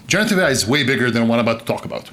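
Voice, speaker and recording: Animated man giving a keynote. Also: mid-range voice, racing. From Serbia. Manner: animated, joking, gestures broadly. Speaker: keynote speaker. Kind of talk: conference keynote talk. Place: conference stage, indoors.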